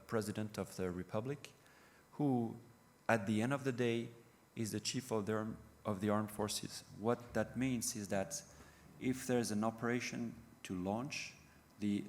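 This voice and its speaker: Halting French-accented man presenting, low voice. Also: a little mellow. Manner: halting, many fillers and restarts. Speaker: panel speaker. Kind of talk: conference presentation. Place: conference hall. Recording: reverberant hall with faint noise.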